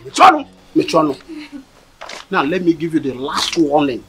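An actor with a Nigerian accent playing an angry husband who threatens and scolds. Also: male voice, fairly high pitch, wildly swooping pitch, normal speed, bright, forceful tone, faint music behind, exaggerated voice. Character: angry husband defending his wife.

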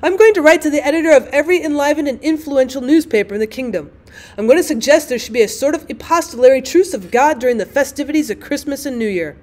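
A woman's voice: high-pitched, quick pace, a little mellow, lightly acted. A woman voicing a gloomy, fussy husband in a storybook, with formal, declamatory phrasing. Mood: resolute. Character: gloomy, fussy, indignant husband, grandly pedantic.

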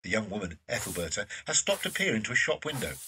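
A man's voice: lowish voice, very animated, quick pace, sharp tone, far from the mic, a little hiss or hum. A man narrating an audio drama from a script.

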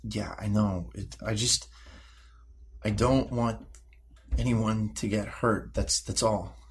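An actor playing a troubled, volatile sixteen-year-old boy in an audio drama, speaking in halting, defensive, sweary bursts. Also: fairly low pitch, fairly even intonation, slight background noise. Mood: apologetic, subdued. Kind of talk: naturalistic audio drama acting.

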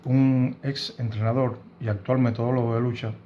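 A man in his fifties from Cuba with a Cuban Spanish accent, giving earnest, indignant news commentary to camera from a room at home, often pausing and restarting. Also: mid-range voice, flat delivery, lo-fi sound, slight background noise. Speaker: host of a news commentary channel. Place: home room with bookshelves, indoors.

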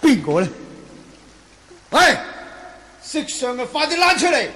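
A man's voice: very high-pitched, big pitch swings, unhurried, bright, forceful tone, exaggerated voice. An actor playing a lecherous, hot-tempered swordsman villain in a Cantonese radio drama from Hong Kong, taunting and blustering theatrically. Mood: furious, threatening. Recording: noisy 1980 radio drama, long reverb.

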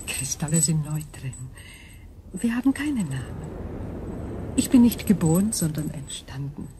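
An actress playing an ancient alien crystal being in a radio play, calm and coolly logical, speaking in measured, formal phrases. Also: female voice, sits low, noisy background. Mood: detached, explanatory. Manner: measured, formal, precise diction. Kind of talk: German radio drama acting.

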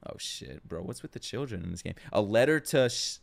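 A man's voice: lowish voice, expressive, a little fast, vocal fry. A man streaming a casual live reaction, slangy, sweary and exclamatory. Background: game trailer music.

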